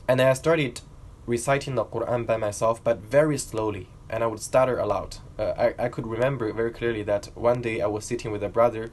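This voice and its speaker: A young man from China telling his own learning story to camera in an earnest, reflective way. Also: on the low side. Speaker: vlogger.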